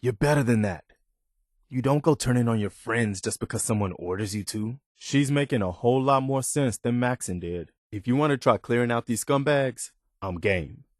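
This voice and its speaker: A man's voice: mid-range voice, moderate pace, no echo, studio quality, close to an everyday voice. A man playing an earnest, loyal militia officer in a video game, speaking sincerely and plainly with a sense of duty. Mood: pleading, firm, then wary, determined. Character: earnest, steadfast militia officer, loyal adult male companion. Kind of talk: acted video game dialogue.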